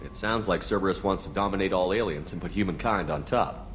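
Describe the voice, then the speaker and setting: An actor playing a resolute, courteous military starship commander in a video game, composed and matter-of-fact. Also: male voice, sits low, brisk, a bit bright, faint music behind. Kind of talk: video game dialogue acting.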